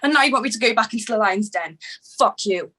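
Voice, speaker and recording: Woman acting a husky, fierce woman. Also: a little high, animated, quick pace, bright, forceful tone. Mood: furious, hurt. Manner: emphatic, swearing, confrontational. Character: fierce, fed-up woman wronged by her partner. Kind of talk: screenplay table read.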